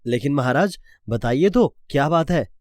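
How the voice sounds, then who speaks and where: A man's voice: on the high side, expressive, moderate pace, a little mellow, no room sound, studio quality, lightly acted. A man playing a jealous, scheming younger prince with a formal, calculating manner in a cartoon story. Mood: curious.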